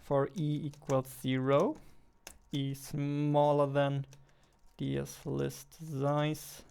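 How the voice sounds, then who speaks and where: Male, twenties, devlog host. Slightly high pitch, gravelly fry at the edges, halting think-aloud delivery. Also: flat delivery, a little mellow. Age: 20s. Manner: halting, muttering to himself. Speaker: game developer, host of a devlog. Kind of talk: casual thinking aloud while coding. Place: indoors at a desk.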